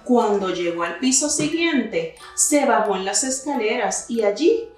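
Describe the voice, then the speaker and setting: A young woman reads a children's story aloud in a high voice, unhurried and expressive. She sounds set back from the microphone in a very echoey room. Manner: slow, deliberate storytelling. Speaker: children's story reader, teacher. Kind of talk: expressive picture-book read-aloud for children. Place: indoor room with wooden cabinet and plants. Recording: very echoey room, distant mic, faint music.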